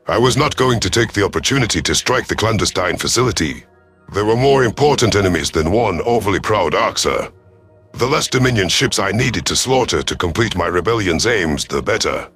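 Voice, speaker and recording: A man's voice: sits low, a bit bright. A man giving dramatic, scripted first-person narration of a science fiction audio story. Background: faint music.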